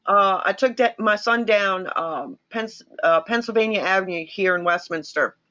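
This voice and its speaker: A woman from New York hosts a casual livestream and tells long, rambling stories straight to her viewers. Her voice is bright and forward. The audio is a little muffled and lo-fi. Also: medium pitch, moderate pace. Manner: chatty, rambling storyteller who addresses viewers. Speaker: livestream host. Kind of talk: casual chat.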